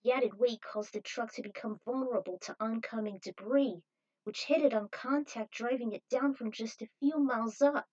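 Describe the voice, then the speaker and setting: A woman's voice: fairly high pitch, darkish tone, lo-fi sound. A woman reading a scripted adventure story aloud as narrator, in a dramatic manner.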